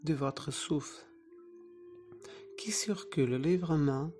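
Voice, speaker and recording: Meditation teacher for guided relaxation: mid-pitched, relaxed timbre, very unhurried, soothing phrasing. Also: soft background music. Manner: soothing, instructing in short phrases with pauses. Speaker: yoga nidra meditation teacher.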